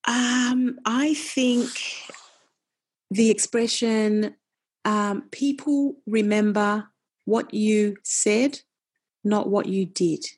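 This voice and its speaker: Husky, passionate middle-aged woman. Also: mid-range voice. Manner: fast, restarts often, forceful and passionate. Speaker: nutritionist and wellness coach, guest. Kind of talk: casual interview chat.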